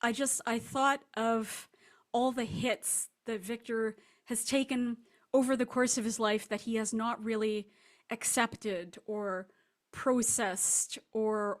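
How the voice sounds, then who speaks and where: A woman speaking as an author guest in a casual, reflective interview, animated and thinking aloud as she explains her writing. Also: fairly high pitch. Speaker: author guest discussing her short story.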